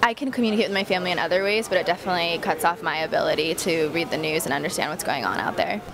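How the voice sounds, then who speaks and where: A woman's voice: fairly low pitch, very fast, gravelly, a crowd in the background. A young woman from California giving thoughtful, hesitant street interview answers. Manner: thoughtful, uses fillers. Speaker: interviewee, foreign student. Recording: noisy outdoor street recording.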